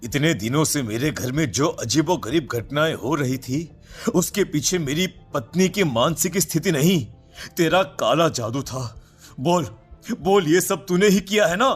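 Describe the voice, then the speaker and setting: A man playing an anxious, protective husband and father in an animated horror story, dramatic and urgent over background music. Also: a little high, a little slow, breathy edge. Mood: accusing, outraged.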